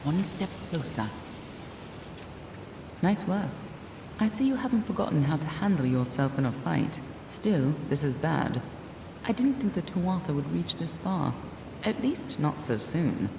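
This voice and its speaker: World-weary female fighter, low gravelly voice, terse, wry, video game dialogue, echoing space. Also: big pitch swings, a little slow, far from the mic, heavily acted. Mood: dry, wry. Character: world-weary, sardonic female fighter and reluctant dark ally. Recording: roomy echo, clear background rumble noise.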